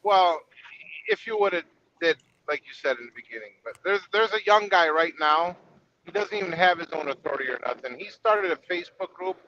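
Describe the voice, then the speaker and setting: A man's voice: sits high, expressive, fairly quick, pushed and bright. A man talking casually with an aggrieved, complaining manner. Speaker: expedite trucking creator. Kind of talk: casual chat. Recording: dull lo-fi audio with faint noise.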